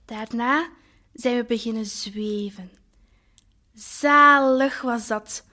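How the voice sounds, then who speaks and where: A woman playing a naive, wistful talking flower in an audio drama, with a Flemish accent and expressive colloquial storytelling. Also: fairly high pitch, slow, faint music behind. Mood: dreamy, soothed. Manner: colloquial, expressive, lingering over words.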